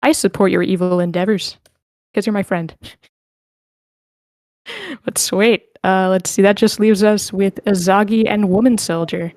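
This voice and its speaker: Casting: informal female host of an online script read, mid-pitched, closely recorded with little room sound. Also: fairly even intonation, unhurried, long pauses, darkish tone, no room sound, studio quality. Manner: informal, chatty. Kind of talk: casual chat, organizing a cast.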